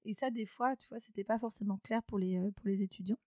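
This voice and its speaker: A woman's voice: medium pitch, steady tone, quick pace, a little mellow, no room sound. A woman in her thirties, a podcast guest, talking casually with many hesitations and restarts. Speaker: podcast guest, company founder. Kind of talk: casual interview talk.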